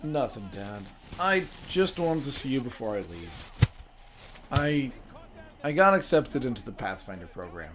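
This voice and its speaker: A man's voice: medium pitch, sing-song, a little slow, pauses often, a bit bright. An actor playing a hesitant, pleading young pilot who seeks his father's approval in an audio drama. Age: young adult.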